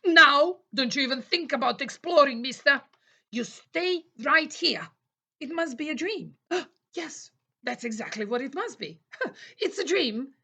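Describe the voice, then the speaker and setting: A woman voicing a fussy, cautious talking teddy bear in a children's audio play, squeaky and scolding. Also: animated, unhurried, pushed and bright, full character voice. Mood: worried, fussy.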